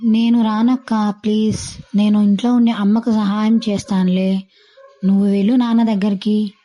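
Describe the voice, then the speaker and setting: Stubborn, mellow-voiced little schoolgirl, acted by a woman. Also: medium pitch, level tone, normal speed, close to an everyday voice, poor audio. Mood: pleading. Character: stubborn, lazy young schoolgirl, pleading little sister.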